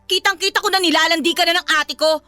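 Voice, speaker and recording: A young Filipino girlfriend with a hot, jealous temper. Her voice is very high and piercingly bright, and she hurls scolding questions in radio drama style, with faint music underneath. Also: steady tone, fast talker. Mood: furious, scornful. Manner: confrontational, scolding, emphatic rhetorical questions.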